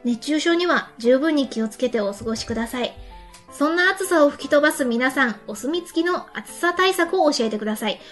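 A woman's voice: high-pitched, lively intonation, brisk, fairly bright tone. A woman hosting a casual radio talk show, reading out listener messages. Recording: light music behind the voice. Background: background music.

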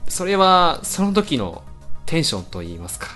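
A woman's voice: low-pitched, big pitch swings, normal speed, fairly bright tone, soft background music. A woman co-hosting an internet radio show, chatting casually but politely, in the flustered, deferential straight-man part. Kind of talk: casual chat.

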